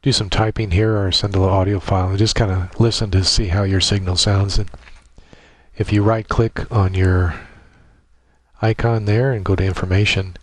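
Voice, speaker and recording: A man narrating a casual software tutorial, explaining step by step in an informal, halting way. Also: sits low, steady tone, no room sound. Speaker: tutorial presenter.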